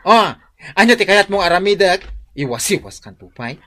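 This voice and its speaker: A woman's voice: mid-range voice, sing-song, normal speed, a bit bright. An actress playing an outspoken woman character in a radio drama. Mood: exasperated.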